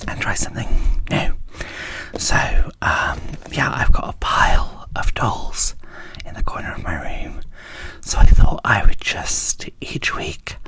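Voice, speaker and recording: A woman speaks in a soft whisper for ASMR. Her delivery is very slow and a little hesitant, with a crackly, breath-led texture. She sounds like a hushed presenter of an unboxing. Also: extremely low pitch, gravelly. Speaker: ASMR video creator.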